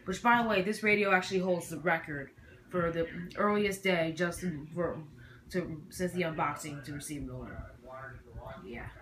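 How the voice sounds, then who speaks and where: A hobbyist presenter with a feminine-sounding voice giving a casual, halting, rambling show-and-tell in a noisy amateur home recording. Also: medium pitch, a crowd in the background.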